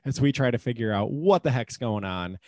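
A man co-hosting a casual podcast, relaxed and joking, with a lot of ums and uhs. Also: medium pitch, brisk, no echo. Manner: relaxed, joking, many fillers. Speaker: podcast co-host, actuary. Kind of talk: casual chat.

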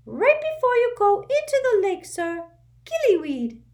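Squeaky, eager, servile, male house-elf, broken grammar, very high, put on by a woman reader. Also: huge pitch swings, measured pace, darkish tone, exaggerated voice. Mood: urgent, squeaky. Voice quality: squeaky, broken grammar, says sir constantly.

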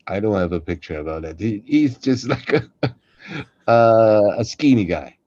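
Dark-voiced man, dull lo-fi recording. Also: on the low side, lively intonation, moderate pace, no echo. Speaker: podcast co-host and voice actor. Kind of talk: casual chat. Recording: dull, muffled lo-fi sound.

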